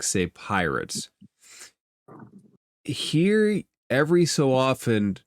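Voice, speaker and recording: A man's voice: lowish voice, clear, resonant tone, no room sound, clean studio sound. A man working as game master, narrating a tabletop game off the cuff in a conversational, explanatory way.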